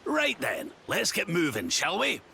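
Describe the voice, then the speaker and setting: A man's voice: high-pitched, huge pitch swings, a little slow, vocal fry, a little hiss or hum, full character voice. An actor playing a genial, crafty old Scottish king travelling as a chatty companion in a video game. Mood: brisk, cheerful. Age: older. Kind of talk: video game cutscene acting. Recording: loud game music behind.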